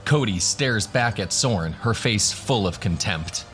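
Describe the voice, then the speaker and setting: A man's voice: fairly low pitch, expressive, measured pace, fairly bright tone, soft background music. A man narrating a scripted fantasy adventure as the game master of a radio drama.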